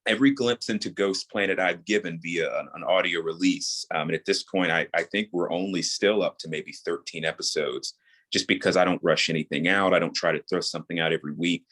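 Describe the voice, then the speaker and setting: Deep-voiced man explaining in an interview. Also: fairly even intonation, brisk, fairly bright tone. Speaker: guest, audio drama creator and voice actor. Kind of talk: reflective podcast interview talk.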